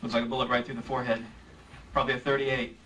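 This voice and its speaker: An actor playing a cool, wry hard-boiled insurance investigator, narrating and talking in an old-time radio drama staged live. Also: male voice, medium pitch, little pitch movement, racing, music in the background, close to an everyday voice. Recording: distant live stage recording.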